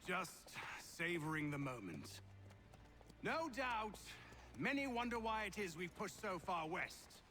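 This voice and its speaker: A man's voice: high-pitched, sing-song, unhurried, full character voice. An actor playing an arrogant, ruthless British army general in a video game, pompous and commanding in his declamatory speech. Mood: pompous, menacing. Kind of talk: dramatic video game acting. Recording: loud music behind the voice.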